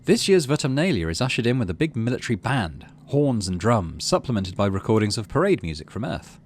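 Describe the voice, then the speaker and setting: A man's voice: on the low side, sing-song, a little fast, husky. A man hosting a gaming video, reading story text aloud and adding casual commentary as he plays.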